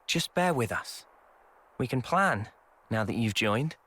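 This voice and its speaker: A man playing a calm, earnest young squad leader in a video game, measured and conciliatory. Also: mid-range voice, slightly rough, heavily acted. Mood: calm, practical.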